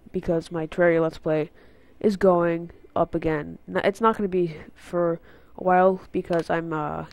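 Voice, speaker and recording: A teenage boy with a congested, stuffy nose from a cold, rambling casually through a channel update on an unfamiliar headset mic. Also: on the high side. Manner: rambling, filler-heavy, goes on tangents. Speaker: gaming channel host.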